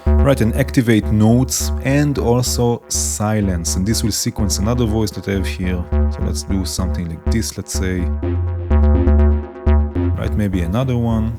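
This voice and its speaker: A man hosting a modular synth tutorial, explaining modules in a relaxed way and often saying "right" and "let's say". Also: lowish voice, a little slow. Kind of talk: demonstrating modules while talking. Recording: music playing behind the voice.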